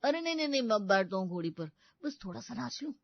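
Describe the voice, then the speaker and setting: A man playing a dance-crazy young groom in an animated comedy story, pleading in a whiny way and easily swayed. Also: very high voice, quick pace, gravelly, dry recording, exaggerated voice. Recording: dull, lo-fi studio voice-over.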